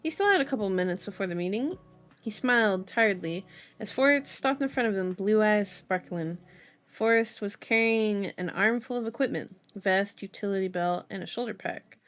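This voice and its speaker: A woman's voice: medium pitch, lively intonation, normal speed. A woman hosting an ASMR reading of a novel, breaking into playful, informal asides. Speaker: ASMR channel host. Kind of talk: ASMR novel reading with casual side comments.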